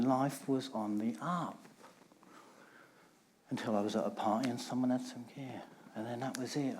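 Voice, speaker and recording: A man's voice: mid-range voice, mellow. A middle-aged man, giving a candid personal talk on stage and stressing points through repetition. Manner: candid, emphatic storytelling with repeated phrases. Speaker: conference speaker.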